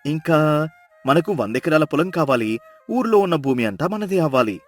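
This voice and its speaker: A man voicing a poor, greedy village husband in a storybook drama, talking in a lively, excitable way. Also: on the high side, normal speed, soft background music.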